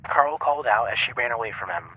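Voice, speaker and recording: A man narrating a scripted dramatic story in a measured, solemn manner. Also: medium pitch, animated, phone-quality sound.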